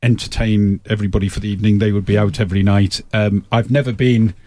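A man recounting an anecdote in an interview, with a wry, amused manner and halting restarts. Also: lowish voice, flat delivery, darkish tone. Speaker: interview guest.

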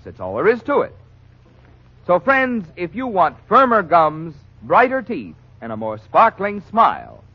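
A man's voice: a little high, breathy edge. A man announcing and reading a scripted sponsor message in 1940s radio style. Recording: dull old 1940s radio recording.

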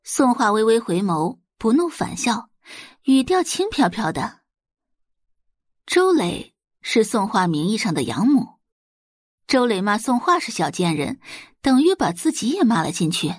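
Expressive high-voiced woman narrating fiction. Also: measured pace, slightly rough, no echo, studio quality. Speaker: audiobook narrator. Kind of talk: scripted dramatic fiction narration.

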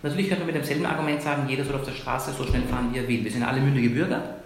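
A man from Iran who is a doctor, arguing emphatically as an interview guest, often ending points with a quick tag question. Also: mid-range voice, steady tone, a little hiss or hum. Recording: very echoey room.

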